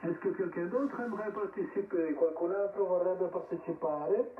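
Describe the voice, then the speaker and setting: Man, ham radio net host, fairly high voice, halting roll-call delivery, thin shortwave sound. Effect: radio transmission. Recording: narrowband shortwave radio, distant, echoey, hissy.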